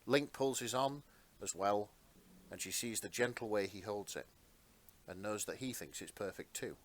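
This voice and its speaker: A man narrating fan fiction as a podcast host, with expressive, sentimental storytelling. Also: on the low side, moderate pace.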